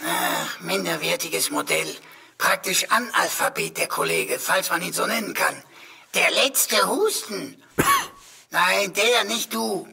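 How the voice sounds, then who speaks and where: An actor playing a chatty, vain little pocket computer in a sci-fi radio drama, raspy and given to flowery, boastful, mock-servile speech. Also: male voice, high-pitched, big pitch swings, slow pace, pushed and bright, heavily acted.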